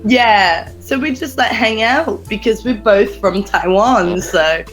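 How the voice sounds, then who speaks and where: A young Englishwoman speaks slowly and gently, plainly a teacher keeping things easy for a nervous partner. Her pitch sits mid-range for a woman, with soft music running faintly under the talk. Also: poor audio. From England. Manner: slow, patient, encouraging, laughs often. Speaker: interviewer, teacher. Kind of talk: casual conversational interview over a call.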